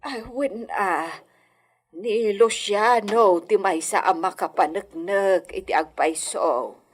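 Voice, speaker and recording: An actress playing a worried, gentle middle-aged mother in an Ilocano radio drama, with a husky voice. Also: mid-range voice, takes it slowly. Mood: anxious, caring.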